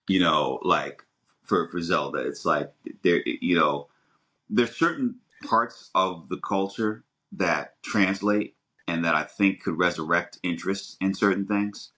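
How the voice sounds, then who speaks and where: Middle aged podcast guy, low voice w/ a bright forceful edge, kinda animated, repeats words as he goes. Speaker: podcast co-host. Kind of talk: casual conversational commentary.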